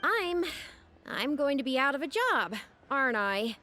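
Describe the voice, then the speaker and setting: An outspoken young woman from a video game, earnest and forthright. Her voice sits a little high, and music plays behind her lines. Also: big pitch swings, a little slow, pushed and bright, full character voice. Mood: hesitant, eager. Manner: candid, forthright. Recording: background music under dialogue.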